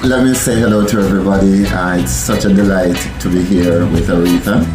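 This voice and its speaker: Jamaican man, very low voice, upbeat and patient, roomy sound with music under it, interview guest. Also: steady tone, fairly quick. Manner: upbeat, affirming, explains patiently. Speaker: resort sales manager. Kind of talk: casual Q&A interview.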